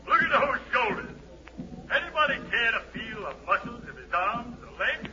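An actor playing a brisk, callous slave auctioneer with commanding, declamatory sales patter in an old radio drama. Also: male voice, very high-pitched, very animated. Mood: callous, selling. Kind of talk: 1940s radio drama acting. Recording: dull, hissy 1940s radio broadcast.

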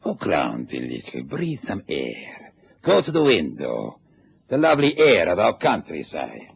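A man's voice: low voice, wildly swooping pitch, a little slow, creaky, breathy edge, full character voice. An actor playing a domineering, mockingly polite male interrogator in a 1960s radio drama. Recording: dull old radio broadcast.

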